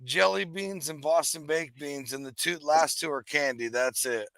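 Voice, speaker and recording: A brash man with a gravelly, bright, slightly raised voice tells crude stories in casual chat. The sound is dry and close. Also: on the high side, moderate pace, no room sound. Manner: brash, crude storyteller, laughs.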